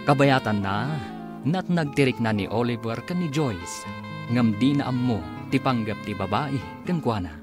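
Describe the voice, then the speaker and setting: A man narrates an Ilocano radio serial in a dramatic, scripted manner. His Ilocano-accented voice moves widely in pitch over clear guitar music. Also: expressive, measured pace.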